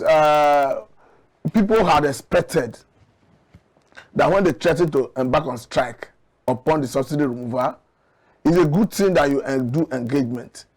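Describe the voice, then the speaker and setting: A man with a Nigerian accent, arguing his points deliberately and emphatically as an interview guest analysing politics. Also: on the high side, darkish tone. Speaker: studio guest, commentator.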